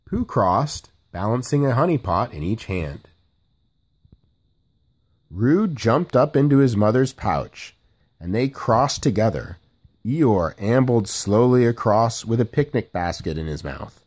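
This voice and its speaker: Animated, husky man reading a storybook. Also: mid-range voice, unhurried. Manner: expressive. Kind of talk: children's storybook read-aloud.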